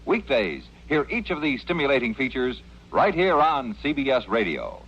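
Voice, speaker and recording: A man's voice: mid-range voice, sing-song, normal speed, heavy background noise. An American man announcing for a network in polished mid-century radio style, with jaunty, wordy promotional delivery. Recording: muffled old radio broadcast.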